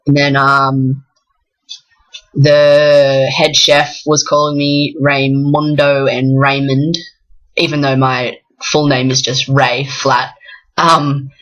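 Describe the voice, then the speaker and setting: A young man with an Australian accent talks frankly to the camera in a casual vlog. He rambles and pauses often. His voice sits mid-pitch, with a bright, forward tone and a flat, level melody. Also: highs cut off. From south coast of New South Wales, Australia. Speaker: vlogger. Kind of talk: casual personal vlog talk.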